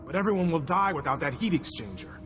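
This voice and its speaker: An actor playing a gruff, weary and practical military commander in a video game, brisk and blunt. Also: male voice, on the high side, expressive, in a large hall, over music, close to an everyday voice. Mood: anxious. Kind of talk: video game cutscene acting.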